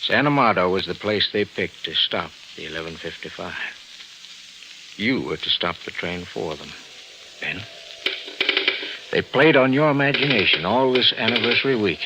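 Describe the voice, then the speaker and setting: A man's voice: on the low side, lively intonation, normal speed. A man playing an earnest, insistent colleague reasoning with a deluded friend in theatrical old radio drama style. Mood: grave, explaining. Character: urgent male lawman or colleague pleading with deluded friend. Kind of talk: 1940s-50s radio drama acting. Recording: crackly, hissing old radio recording.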